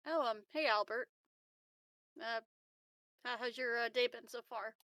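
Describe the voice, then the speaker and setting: A woman's voice: on the high side, unhurried, fairly bright tone, dry recording. A woman casually improvising in character as a tabletop game player, sounding hesitant and a little awkward.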